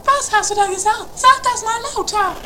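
A woman's voice: very high-pitched, normal speed. A woman playing a bossy, quarrelsome sister in an improvised comedy radio play, exclamatory, taunting and haughty. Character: Mathilde, shrill, bossy, quarrelsome adventuring sister. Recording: faint noise, amateur 1980s radio play.

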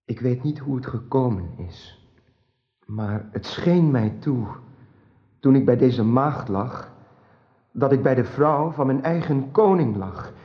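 A tormented, pious knight in a radio play. His medium-pitched voice is earnest and formal, with fervour. The recording lacks some clarity at the top. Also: expressive, slow pace, dull audio. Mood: ashamed. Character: anguished, devout knight burdened by guilt. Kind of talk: radio drama acting.

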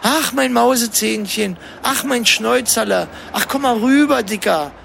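A man's voice: very high-pitched, normal speed, creaky, a bit bright, slight background noise. A man talking as a radio host in a rambling, spontaneous way, ranting and teasing.

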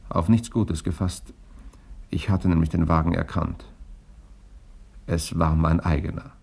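A low male voice with a creaky edge as a cool, gallant reporter in a vintage radio thriller. He is dry and nonchalant, both in dialogue and as narrator. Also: fairly even intonation, measured pace, darkish tone, exaggerated voice. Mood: reflective narration. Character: cool, gallant male journalist, hero and first-person narrator. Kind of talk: 1960s German radio crime drama acting and narration.

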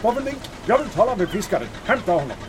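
Pompous, flirty German captain, animated. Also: male voice, high voice, background noise. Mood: imperious. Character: pompous, lecherous German U-boat captain with eye patch. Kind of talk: comic audio drama acting.

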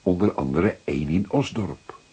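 A seasoned Dutch police detective, older and paternal, with a very husky, low voice. His delivery is methodical and emphatic, swinging widely in pitch as he reasons through a crime drama. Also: animated, mellow, exaggerated voice. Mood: reflective, explanatory. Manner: deliberate, emphatic, repeating words for effect. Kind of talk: dramatic detective dialogue acting.

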